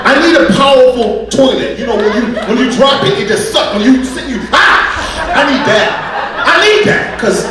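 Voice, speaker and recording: A man doing a stand-up comedy set, animated, acting out bits with loud yelps and squeaky voices. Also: moderate pace. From United States. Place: comedy club stage. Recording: distant, echoey live club recording with loud crowd.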